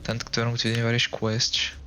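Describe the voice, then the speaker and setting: A man's voice: mid-range voice, completely flat delivery, racing, sharp tone. A man who is a native Portuguese speaker, reacting casually to gameplay as a streamer with informal, slangy interjections. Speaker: game streamer. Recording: game music behind the voice.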